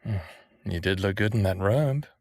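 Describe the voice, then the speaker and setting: A man's voice: lowish voice, moderate pace, a little mellow, no echo, studio quality, lightly acted. An actor playing a stubborn, lovestruck smartass of a human man in his thirties, narrating his own story in an intimate audio drama. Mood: teasing, amorous. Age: 30s. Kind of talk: first-person audio drama narration and dialogue.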